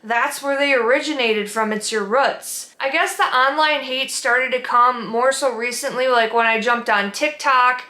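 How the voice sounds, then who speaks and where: Minnesotan woman, bright, echoey room. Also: fairly high pitch, unhurried, hardly any pauses. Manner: earnest, candid, reflective. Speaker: vlogger. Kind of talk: sit-down personal talk.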